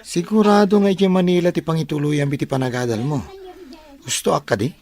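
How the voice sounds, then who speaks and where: A man's voice: a little high, steady tone, quick pace, darkish tone, lightly acted. Man as an eager young student. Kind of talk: audio drama acting.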